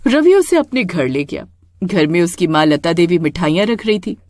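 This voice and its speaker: A woman's voice: fairly low pitch, very animated, normal speed, a little mellow, no echo, studio quality. A woman storyteller reading a scripted tale in a dramatic narrating style.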